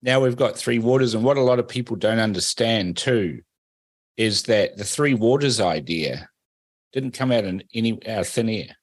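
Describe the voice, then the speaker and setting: A man's voice: fairly low pitch, animated, normal speed, no room sound. A man born in Fiji hosting a political talk radio interview, making pointed, emphatic remarks and asking leading questions. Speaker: host.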